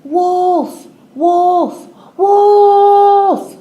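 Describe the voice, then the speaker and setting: A woman voicing a mischievous ten-year-old shepherd boy in a storybook, calling out loudly in alarm. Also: fairly even intonation, very slow, muffled recording, heavily acted. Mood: panicked. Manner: loud, high calling out.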